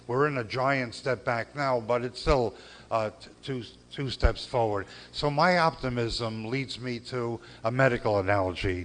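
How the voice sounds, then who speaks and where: An older man giving a speech at a gala, earnest and measured, with pauses and repeated phrases. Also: mid-range voice, a bit bright. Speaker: civil rights lawyer giving a gala speech. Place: banquet hall.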